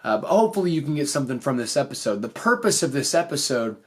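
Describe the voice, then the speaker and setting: A man's voice: mid-range voice, very animated, quick pace. A man hosting a motivational podcast, speaking in an earnest, explanatory way, his voice slightly off after recently losing it. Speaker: podcast host and teacher.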